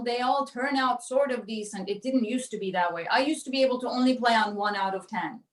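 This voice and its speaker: A woman's voice: sits high, reverberant, far from the mic. A woman whose first language is Turkish, an oboist talking chattily in an online interview. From Turkey. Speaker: principal oboist.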